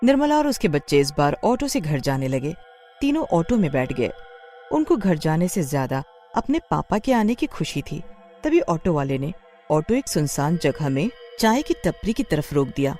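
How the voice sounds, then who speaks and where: Female narrator for horror tales, mellow and animated, music underneath. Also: low-pitched, moderate pace. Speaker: story narrator. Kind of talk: scripted Hindi horror storytelling.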